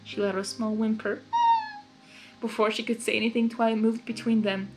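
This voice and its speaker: A woman reading fan fiction aloud as host, in an expressive storybook narration style. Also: a little high, brisk, faint music behind, low-quality recording. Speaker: fanfiction reader and channel host.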